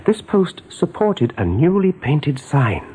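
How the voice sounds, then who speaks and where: A man giving scripted narration in a radio drama, measured and dramatic. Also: a little high, big pitch swings, dark tone. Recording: noisy old radio play recording.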